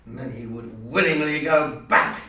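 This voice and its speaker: A man's voice: medium pitch, measured pace, pushed and bright. A man auditioning as an amateur actor, moving between monologue and interview chat. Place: university room, audition being filmed. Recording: echoey room, distant mic, faint noise.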